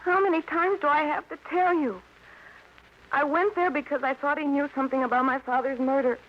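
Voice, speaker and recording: An actress playing a distraught, bitter young daughter, pleading and repeating herself in an old radio crime drama. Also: female voice, high-pitched, fairly even intonation, brisk, lightly acted. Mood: bitter, weary. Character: distraught young daughter, bitter, insisting her father was murdered. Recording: muffled, hissy old radio broadcast.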